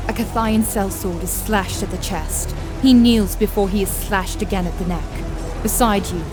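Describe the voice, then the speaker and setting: A woman narrating a scripted fantasy audio drama in a grave, vivid storytelling manner. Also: medium pitch.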